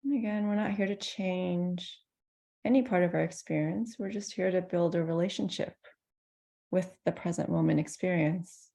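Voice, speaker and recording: Lady doing guided meditation, kinda low relaxed voice w lots of vocal fry, gentle n soothing, pauses between lines. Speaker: meditation teacher.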